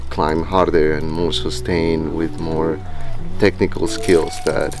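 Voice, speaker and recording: Colombian man, Spanish accent, very low voice, loose filler-heavy talk, clear guitar music under it. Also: slow. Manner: relaxed, rambling with many fillers and likes. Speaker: climber and park co-founder. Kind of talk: casual interview talk.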